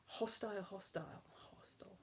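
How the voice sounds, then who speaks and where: A woman's voice: mid-range voice, normal speed, whispered. A Welsh woman with a light West Country-tinged accent, a comedian chatting casually on a live stream from her home kitchen in a rambling, self-mocking way. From South Wales. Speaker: comedian performing at a festival.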